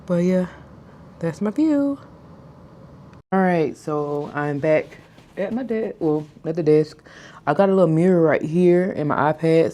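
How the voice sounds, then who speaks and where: A woman in her thirties chatting casually to her followers in a skincare vlog. She stutters at times, mispronounces some words, and slows her speech down on purpose. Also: low voice, mellow, slight background noise. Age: 30s. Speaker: vlogger. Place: hotel room, indoors.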